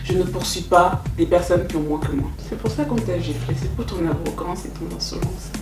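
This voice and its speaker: A young woman telling stories in a casual, animated, teasing and slangy way, like an online host. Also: lowish voice, fairly quick. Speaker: YouTube host telling K-pop gossip. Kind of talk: casual chatty storytelling with commentary. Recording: roomy echo, distant mic, music behind.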